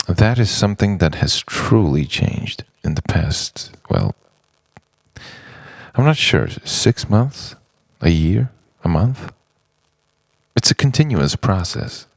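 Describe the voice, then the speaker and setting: An extremely low-voiced man speaks an introspective spoken-word piece, slowly and in halting phrases. His gravelly delivery moves widely in pitch and sometimes sinks toward a whisper, with dramatic pauses between thoughts. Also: no echo. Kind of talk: introspective spoken-word monologue, poetic letter to himself.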